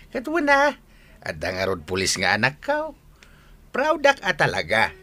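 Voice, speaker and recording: A blustering old drunkard father for an Ilocano comedy radio drama. His bright, forceful voice swings widely as he drags out his proud, exclamatory lines at a slow pace. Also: medium pitch, wildly swooping pitch, full character voice. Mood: proud, cheerful. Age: older. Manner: blustering, exclamatory, drawn-out delivery.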